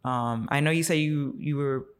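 A man co-hosting a casual podcast chat, easygoing and halting as he talks. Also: on the high side, steady tone, clear, resonant tone. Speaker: podcast co-host.

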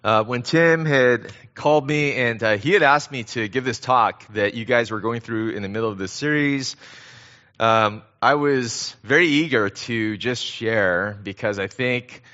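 A middle-aged American man preaching conversationally as a guest pastor, speaking in an earnest, halting way. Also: mid-range voice, pushed and bright, highs cut off. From born in Korea, grew up in Southern California. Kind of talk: conversational sermon.

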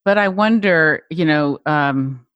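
A woman's voice: low voice, takes it slowly, fairly bright tone, clean tone, no echo, lo-fi sound. Reflective middle-aged woman host, dry sound. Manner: reflective, hesitant, searching for words. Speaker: podcast host. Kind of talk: interview.

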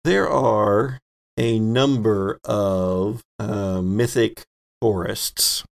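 A man's voice: fairly low pitch, very slow, creaky. A man running a tabletop role-playing game as its game master, narrating and answering players.

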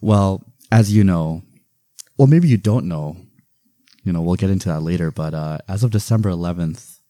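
A man in his twenties co-hosting a casual podcast, playful, swinging between loud excitement and low mumbling. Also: moderate pace, no echo. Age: 20s. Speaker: podcast co-host. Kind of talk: casual chat.

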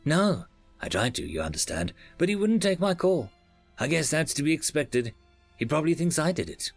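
Harried male technician in an audiobook, read by a man: earnest, defensive, gravelly edge, dry sound. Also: fairly high pitch, very animated, moderate pace, dry recording, soft background music, voice pushed up, exaggerated voice. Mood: pleading, exasperated. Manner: earnest, pleading, hesitant. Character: anxious, exasperated male technician defending himself, chain-smoking. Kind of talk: audiobook character dialogue.

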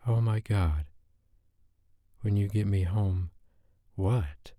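A man's voice: fairly low pitch, slow, warm tone, no room sound, clean studio sound. A man voicing an upset young woman in an audiobook, speaking in a raised, strained, heated way. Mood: flustered.

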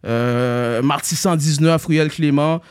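A man in his thirties, born in Haiti, talks with a relaxed Quebec French accent. He wanders through stories in a casual, easygoing way. His English fillers and slightly raised pitch make him sound friendly and loose. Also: slow, clear, resonant tone. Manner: laid-back, peppers speech with "you know" and "mon gars". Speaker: rapper guest. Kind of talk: casual podcast chat.

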